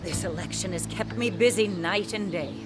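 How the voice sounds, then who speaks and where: A woman's voice: lowish voice, moderate pace, lightly acted. An actress playing a polished female politician in a video game, formal and gracious in public but bossy to staff. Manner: formal, imperious. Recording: music behind the voice. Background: music.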